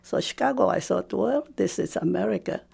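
A woman in her seventies from Taiwan, a Japanese speaker, recalling her life slowly and hesitantly with frequent fillers. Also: medium pitch, expressive, creaky, dark tone, slightly rough. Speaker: interviewee. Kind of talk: personal recollection.

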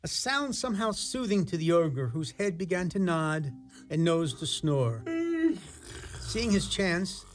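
A man's voice: on the high side, lively intonation, a little slow, soft background music. A man narrating a fairy-tale radio play in an ornate, dramatic, theatrical style. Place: radio studio.

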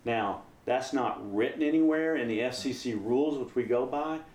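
A man's voice: medium pitch, moderate pace, darkish tone. A man speaking casually as an amateur radio operator being interviewed, explaining how things work. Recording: roomy echo, some background noise.

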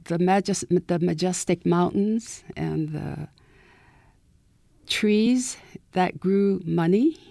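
A woman in her eighties from southern California, telling her story slowly and reflectively as a guest on a radio interview. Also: on the low side, creaky, dark tone, no echo, clean studio sound. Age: 80s. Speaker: author and guest.

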